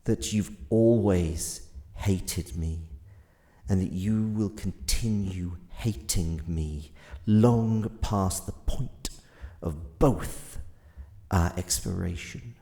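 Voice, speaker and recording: An actor playing an ancient godlike being of paradox in an audio drama, weary and enigmatic, speaking in solemn, halting phrases with long pauses. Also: male voice, low-pitched, warm tone, exaggerated voice. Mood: wounded, bitter.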